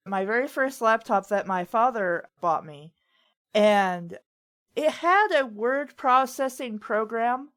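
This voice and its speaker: A woman's voice: on the high side, a bit bright. A woman chatting casually as a host, giving informal advice and personal anecdotes. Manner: informal, anecdotal, occasionally profane. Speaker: host of a writing advice talk.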